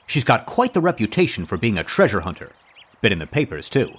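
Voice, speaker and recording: Eager sidekick, old radio mystery style, super swoopy voice. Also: male voice, lowish voice, sing-song, a little fast, fairly bright tone, slightly rough, full character voice. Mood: eager, conspiratorial. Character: eager, folksy detective's sidekick. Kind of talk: old-time radio detective drama acting.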